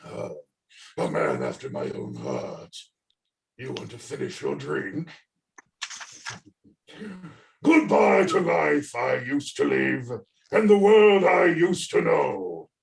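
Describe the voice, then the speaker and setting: Masked goon, acted in a table read: theatrical, gloating, doomed, slow and creaky, recorded far off over an echoey call. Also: mid-range voice, big pitch swings, a little hiss or hum, heavily acted. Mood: menacing. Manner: theatrical, mocking, swinging into shouts. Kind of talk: comedic script table read. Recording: distant, echoey video call audio.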